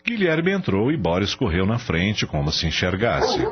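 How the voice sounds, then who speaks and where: A man narrating a radio drama in a measured, expressive storytelling style. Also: lowish voice, husky. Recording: dull lo-fi audio with music behind.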